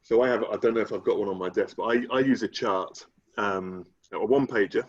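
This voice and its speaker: A man's voice: on the low side, fairly quick. A middle-aged British man answering as a coach being interviewed on a video call, thinking aloud with restarted phrases. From United Kingdom. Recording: dull, muffled video-call audio.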